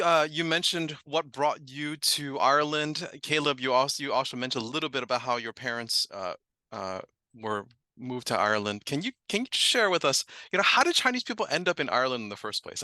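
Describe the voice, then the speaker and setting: A man's voice: medium pitch, animated, brisk, sharp tone, no room sound. An American man hosting a conversational interview. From United States. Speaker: interview host.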